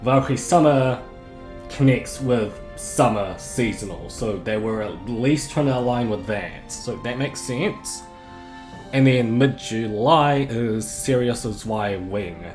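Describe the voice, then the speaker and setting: A man's voice: mid-range voice, takes it slowly, roomy sound, soft background music. A man chatting casually on a live game stream, rambling and correcting himself, with a sore throat and a droning voice. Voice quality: monotonous, droning.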